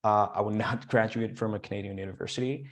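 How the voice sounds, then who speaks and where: A man's voice: lowish voice, fast. Colombian-accented man telling his story. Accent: Colombian Spanish. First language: Spanish. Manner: animated, rambling with many fillers and restarts. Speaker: guest. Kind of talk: casual storytelling interview.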